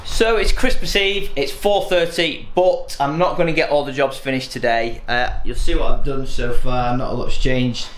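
A man's voice: mid-range voice, steady tone, normal speed, hardly any pauses, a bit bright. A man in his thirties, chatting casually through his plans with lots of fillers, filmed on a handheld camera in his garage gym. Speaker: vlogger. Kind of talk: casual vlog narration. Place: garage home gym, indoors. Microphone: handheld camera at arm's length. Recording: echoey garage with faint hum.

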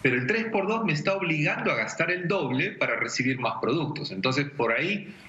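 Lively Peruvian man explaining over video call. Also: fairly high pitch, a little fast. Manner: didactic, illustrates with everyday examples. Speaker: personal finance expert on a TV segment. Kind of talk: conversational explanatory advice. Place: indoor room at home. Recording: dull, echoey video call.

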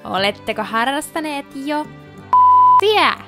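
Woman voicing young anime blacksmith, high-pitched, hugely varied intonation, blunt, sarcastic. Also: sing-song, sharp tone, soft background music, full character voice. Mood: nosy. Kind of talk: anime parody fan dub.

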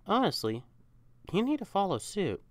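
A woman's voice: medium pitch, big pitch swings, normal speed, darkish tone, no room sound. A young woman voicing an affectionate, teasing, protective catboy boyfriend in an intimate, coaxing ASMR roleplay. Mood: playful, chiding. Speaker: audio roleplay performer.

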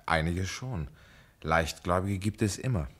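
An actor playing an authoritative, knowing village doctor in a German radio crime drama. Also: male voice, low voice, heavily acted.

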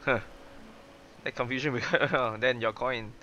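A man's voice: mid-range voice, steady tone, normal speed, pushed and bright, lo-fi sound, slight background noise. A man doing casual livestream game commentary in a playful, exclamatory way, sometimes reading dialogue aloud. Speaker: streamer, virtual avatar host. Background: game music.

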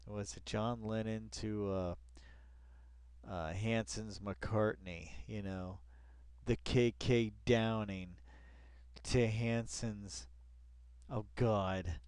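Smooth-voiced man rambling through a read-along. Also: fairly low pitch, dry recording. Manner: muttering asides, exasperated swearing, drawn-out repeated phrases. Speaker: vlogger reading a web article aloud with commentary. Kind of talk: rambling read-along commentary, casual and profane.

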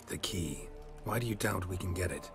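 Casting: stoic wanderer, very low voice, terse deadpan lines, fantasy game hero. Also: male voice, moderate pace, over music. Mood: dry, firm. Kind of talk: fantasy video game acting.

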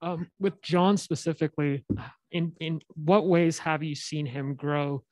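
A male reporter asking a player questions at a press conference in a measured, level way. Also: fairly high pitch, fairly even intonation, darkish tone, no room sound.

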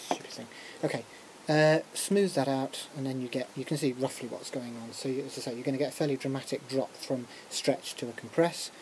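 A lecturer giving an informal software tutorial, talking through a demonstration hesitantly with muttered asides and interruptions to themselves. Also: mid-range voice, fairly even intonation, a little fast, few pauses. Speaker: music technology lecturer. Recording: clearly noisy recording with room ambience.

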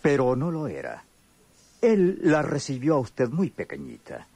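A man's voice: medium pitch, huge pitch swings, measured pace, creaky, mellow, slightly rough, dry recording, heavily acted. An actor playing a pompous, courteous, elegant lawyer in his fifties, pedantic and ornate, in a radionovela. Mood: delicate explaining. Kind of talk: radionovela acting.

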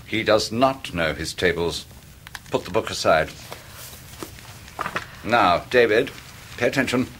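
A man's voice: on the low side, lively intonation, slow, pauses often, a bit bright. Cold, menacing English stepfather, noisy recording. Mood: cold menace. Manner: stern, clipped, commanding. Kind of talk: 1990s radio drama acting.

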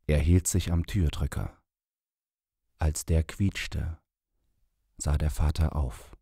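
A man reading a literary short story aloud in slow, measured narration, with a husky voice. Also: very deep voice, steady tone, dark tone, dry recording, clean studio sound. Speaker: audiobook reader.

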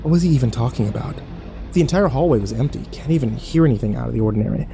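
A man playing an overwhelmed, foul-mouthed young man who narrates his ordeal haltingly in a horror audio drama. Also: mid-range voice, lively intonation, gravelly, mellow, faint music behind. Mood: uneasy. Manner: halting, self-interrupting, swearing, incredulous.

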